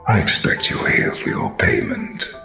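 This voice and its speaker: A man playing a smug, menacing mastermind villain in an audio drama, condescending and theatrical, with a gravelly voice. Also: sits high, huge pitch swings, moderate pace, bright, forceful tone, full character voice. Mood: smug, pleased. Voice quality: heavy gravelly fry. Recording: roomy echo, faint music behind.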